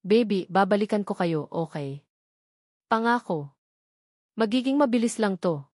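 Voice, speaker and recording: A woman with a Filipino accent voicing an anguished, tender father in an audio story, pleading in a hushed, emotional way. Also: medium pitch, animated, measured pace, no echo, clean studio sound. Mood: tender, pained.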